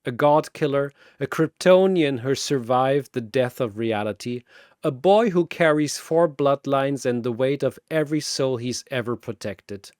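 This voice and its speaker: A man's voice: mid-range voice, a little slow, darkish tone, no echo, studio quality. A man delivering dramatic scripted battle narration in a theatrical, emphatic manner.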